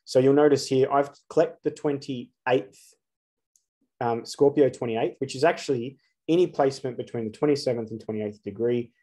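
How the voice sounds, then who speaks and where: A man in his forties talks straight into a close camera from a dark room. He is earnest and emphatic, pressing his points with rhetorical questions in a mid-pitched voice. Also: normal speed. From Australia. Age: 40s. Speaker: astrologer. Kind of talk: solo spiritual talk to camera.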